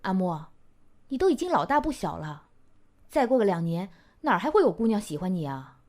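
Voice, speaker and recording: A woman playing an exasperated older sister, scolding briskly in an amateur audio drama. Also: mid-range voice, very animated, a little mellow, close to an everyday voice. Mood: nagging. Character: nagging, exasperated older sister pushing marriage.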